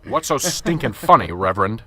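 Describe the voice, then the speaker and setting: A man's voice: mid-range voice, very animated, normal speed, pushed and bright, full character voice. A man playing a fed-up, sarcastic police sergeant. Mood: impatient. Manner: curt, exasperated, sarcastic. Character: stressed, blunt male police sergeant, short-tempered. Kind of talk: amateur radio drama.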